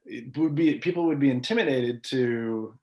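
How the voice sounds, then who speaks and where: A man hosting a casual conversational interview, relaxed and thinking aloud, often trailing off into pauses. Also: mid-range voice, muffled recording. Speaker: podcast host interviewing a guest. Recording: echoey room.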